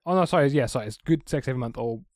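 A man's voice: medium pitch, animated, racing, a little mellow. A man co-hosting a podcast in casual comedic banter, quibbling over details as he goes. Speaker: podcast co-host.

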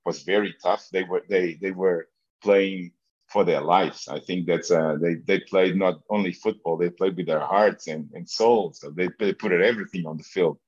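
A middle-aged man with a very low voice and a Portuguese accent answers interview questions. He speaks haltingly and often repeats words as he finds his phrasing. The sound is echoey and slightly dull. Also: steady tone, a little mellow, slightly rough. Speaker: interview guest, football business executive. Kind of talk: conversational interview answers, personal recollection.

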